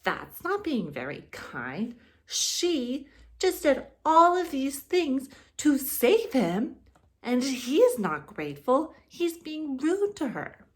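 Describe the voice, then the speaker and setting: A woman in her thirties, a kindergarten teacher, reading aloud to young children with simple explanations and expressive storytelling. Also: sits high, takes it slowly. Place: living room, indoors.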